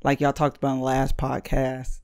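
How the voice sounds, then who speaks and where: A woman in her thirties with a very deep voice, chatting casually and laid-back as a podcast guest, slangy and cursing freely. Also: completely flat delivery, a little fast, a little mellow, clean tone. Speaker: guest co-host.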